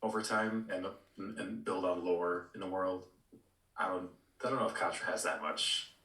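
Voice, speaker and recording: A man co-hosting a podcast, giving opinions in casual chat with halting, repeated words. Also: low-pitched, bright, forceful tone, a little hiss or hum. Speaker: podcast co-host. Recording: echoey room, distant mic.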